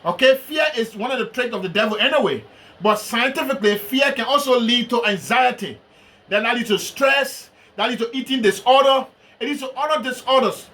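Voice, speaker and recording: High, bright Liberian man preaching. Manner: slow, emphatic delivery with Bible references. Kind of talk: sermon.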